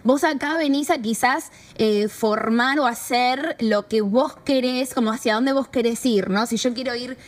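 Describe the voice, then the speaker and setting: A woman's voice: on the high side, a little slow, hardly any pauses. A woman from Argentina with an Argentine accent, chatting casually about founding a women's community, thinking aloud with fillers. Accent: Argentine Spanish. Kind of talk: casual podcast conversation.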